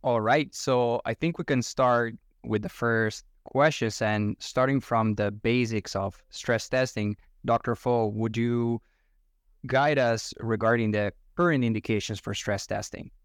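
A man's voice: mid-range voice, measured pace, no room sound, clean studio sound. A man in his thirties from Italy, Italian his first language, a medical resident speaking in a friendly, eager way. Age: 30s. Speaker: resident co-host. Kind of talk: podcast introduction and questions.

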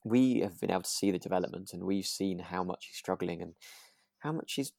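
A man's voice: sits low, fairly quick, vocal fry, darkish tone, no echo, clean studio sound. A man co-hosting a podcast, thinking aloud in a casual, rambling and hesitant review of a radio drama. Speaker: podcast co-host.

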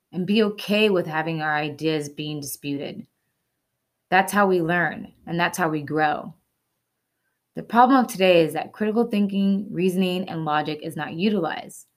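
A woman's voice: on the low side, normal speed. A woman presenting a scripted explainer in an earnest, instructive way, with casual asides and rhetorical questions. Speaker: presenter of a short ethics segment.

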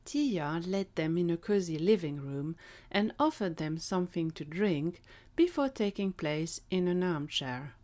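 A woman's voice: lowish voice, animated, a little slow, hardly any pauses, mellow. A woman reading scripted narration for an audio drama. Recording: clearly noisy background ambience.

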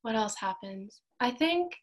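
A woman's voice: on the high side, lively intonation, a little slow. A young woman from Hong Kong and Japan answering interview questions casually, informal and giggly, with many uses of "like". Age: young adult. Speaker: podcast guest, student club officer.

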